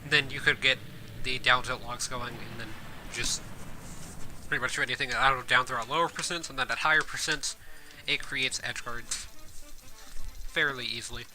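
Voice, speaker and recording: A man giving casual gameplay commentary as a streamer, rambling with fillers and self-corrections, his voice a bit hoarse. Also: medium pitch, fairly even intonation, moderate pace, long pauses, bright, forceful tone. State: hoarse from coughing. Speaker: streamer and game guide host. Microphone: desk mic.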